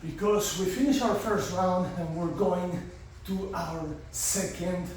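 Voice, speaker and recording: A man's voice: high-pitched, slow, recorded from a distance, a little hiss or hum. Congested man coaching in echoey garage. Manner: energetic, encouraging, counting reps. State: congested, blocked sinuses. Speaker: fitness coach. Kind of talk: coaching a home workout. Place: garage gym.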